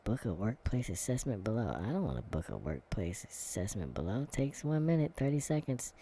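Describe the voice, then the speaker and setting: A man reading an online quiz aloud in a casual vlog, answering it with sarcastic, deadpan asides and dark comic rants. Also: lowish voice, a little fast, breathy edge. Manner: reads quiz text aloud, stumbling and muttering. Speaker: vlogger. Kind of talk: casual commentary while taking an online quiz.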